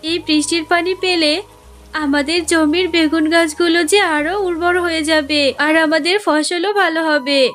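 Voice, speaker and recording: A woman's voice: very high-pitched, fairly even intonation, unhurried, a bit bright. A woman voicing a poor, kind, devout fox wife in a cartoon, speaking in an earnest, plaintive way. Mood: grateful, hopeful. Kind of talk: cartoon character acting.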